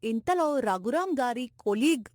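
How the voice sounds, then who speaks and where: Lady narrating a moral story, a bit high pitched, dry close recording. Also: lively intonation, unhurried, darkish tone, no echo.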